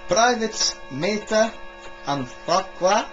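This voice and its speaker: A man acting as a bossy army sergeant barks in a loud, high voice. He is strict and commanding, in a homemade military audio drama with faint music behind. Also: lively intonation, slow pace, highs cut off, exaggerated voice. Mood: angry, commanding.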